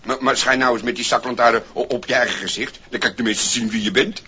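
An actor playing a scruffy, good-natured, cheeky vagrant who exclaims and gets flustered, in a 1960s radio play. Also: male voice, mid-range voice, steady tone, fast, bright, forceful tone, breathy edge. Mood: startled, flustered. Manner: stammering when flustered, exclaiming, talking to himself. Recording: muffled, noisy 1960s vinyl single recording.

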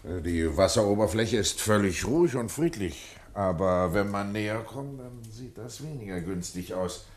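Guy acting a worried waterworks official, low voice, polite and earnest, explains stuff haltingly, radio play. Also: lively intonation, close to an everyday voice. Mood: concerned, explanatory.

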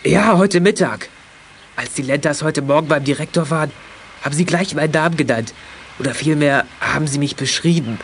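Young man playing a shy, flustered teenage boy, highish voice. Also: a little fast, a little hiss or hum, close to an everyday voice. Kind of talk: 1980s German children's audio drama acting.

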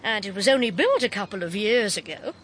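An actress playing a gossipy, brisk, wry middle-aged woman with an upper-class English accent in a radio drama. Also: medium pitch, big pitch swings, a bit bright, a little hiss or hum, low-quality recording. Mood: chatty, enthusiastic. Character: chatty, scatty, good-humoured English lady crime novelist.

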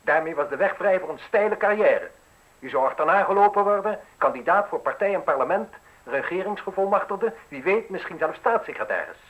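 A man's voice: high voice, lively intonation, a little fast, a bit bright, highs cut off. Probing, mocking newspaperman. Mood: relentless. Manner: insinuating, articulate, interrogating. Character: probing, mocking, ruthless male newspaper journalist needling an official. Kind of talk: radio play acting in Dutch.

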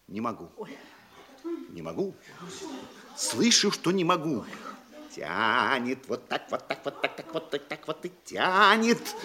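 An actor playing a nosy, excitable provincial postmaster in a classic comedy audio play, savoring each phrase theatrically. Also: male voice, mid-range voice. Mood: confessional, thrilled dread.

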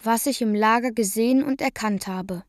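A woman's voice: on the high side, moderate pace, a little hiss or hum. A woman reading a scripted first-person literary text as a radio drama narrator.

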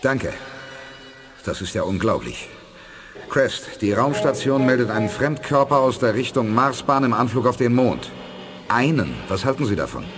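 Resolute space commander played by a man, animated delivery. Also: mid-range voice, moderate pace, background noise, close to an everyday voice. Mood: grave, focused. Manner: decisive, authoritative. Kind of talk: 1980s German science fiction radio play acting.

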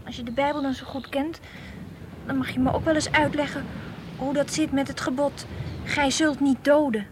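A woman's voice: high-pitched, steady tone, normal speed, close to an everyday voice. An actress playing an outspoken, sceptical teenage girl who argues emphatically in a radio play. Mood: challenging, sceptical. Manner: challenging, emphatic. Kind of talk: Dutch radio play acting. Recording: faintly hissy old radio play recording.

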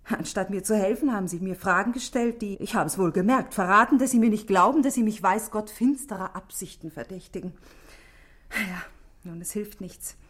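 An actress playing a self-possessed, guarded married shop manageress in a radio drama, speaking precisely and defensively. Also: female voice, mid-range voice, brisk, natural voice. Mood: agitated, wounded. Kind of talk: 1960s German radio drama acting.

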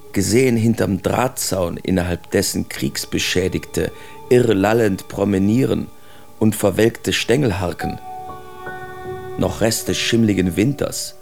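Low, gravelly, embittered artist. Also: male voice, a little mellow. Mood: brooding. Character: embittered male artist writing letters from a wartime asylum. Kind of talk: dramatic reading of letters in radio play.

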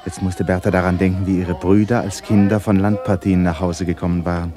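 Deep-voiced male radio drama narrator. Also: flat delivery. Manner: solemn, measured storytelling.

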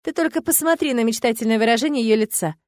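Woman playing a teasing young witch, sharp and snarky, dry sound. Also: fairly high pitch, fast talker, no echo, voice pushed up, natural voice. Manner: snorting, teasing.

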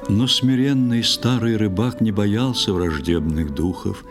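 A man narrating a poetic old tale for a children's audio play in a measured, expressive way, with drawn-out phrases. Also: lowish voice. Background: music.

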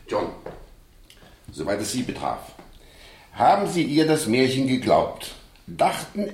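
A man's voice: mid-range voice, a little mellow. An actor playing a shrewd, insinuating man questioning a suspect in a German radio crime drama, in a roomy recording. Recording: roomy sound with faint noise.

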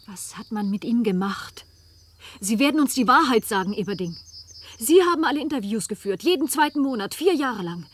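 An actress playing a tense, demanding daughter in a German radio play, questioning with insistence. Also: female voice, on the high side, expressive, brisk, husky. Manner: insistent, interrogating.

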